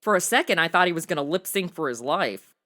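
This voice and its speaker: A woman's voice: fairly low pitch, expressive, quick pace, fairly bright tone. A woman playing a goofy, slangy modern sidekick with casual, sassy quips in a comedy audio drama. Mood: sassy, amused. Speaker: host and co-lead.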